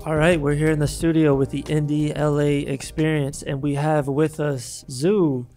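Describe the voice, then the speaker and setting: A man's voice: on the high side, level tone, moderate pace, dark tone, soft background music. A man co-hosting a studio podcast, chatting in a laid-back, slangy, enthusiastic way. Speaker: podcast co-host. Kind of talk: casual chat.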